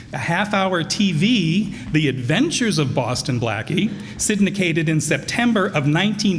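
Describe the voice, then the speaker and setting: Animated, unhurried male announcer in a hall. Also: high voice. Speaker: announcer and host. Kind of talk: live introduction of a radio play. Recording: reverberant hall, faint background noise.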